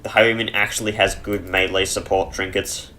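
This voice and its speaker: A man giving casual, rambling commentary over a dungeon game on a headset mic, chesty and clearing his throat, with dry humor. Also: sits low, level tone, a little fast, pushed and bright, a little hiss or hum. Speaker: let's play gamer.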